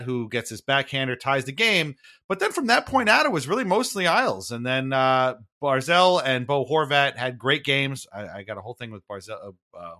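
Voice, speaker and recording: Man, bright voice, mid pitch, sports podcast host, casual recap, conversational, wry, hedging. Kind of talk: casual hockey game recap chat.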